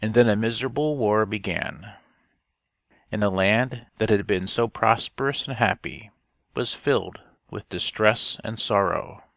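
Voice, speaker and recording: A man narrates a tale as an audiobook reader. His delivery is slow and deliberate, with a fairly low voice that has a creaky edge. The sound is dry, with no room on it. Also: a bit bright, no echo. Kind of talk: scripted storybook narration.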